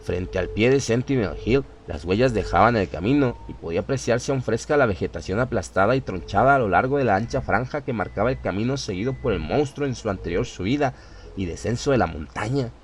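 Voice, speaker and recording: A man's voice: on the low side, animated, fairly quick, a little hiss or hum. A man reading scripted horror narration in a dramatic storytelling style.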